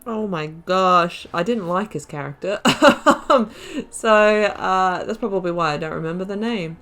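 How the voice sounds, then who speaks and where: A woman's voice: on the low side, normal speed, a bit bright. A woman giving casual, reflective, rambling commentary as she hosts a video game playthrough. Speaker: gaming video host.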